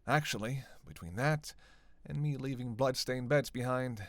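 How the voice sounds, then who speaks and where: A man's voice: medium pitch, moderate pace, no echo, close to an everyday voice. Man playing a cocky, flirtatious vampire, close and dry. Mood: grumbling, casual. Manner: teasing, foul-mouthed, playful, intimate delivery with sighs. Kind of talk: scripted roleplay audio drama addressed to listener.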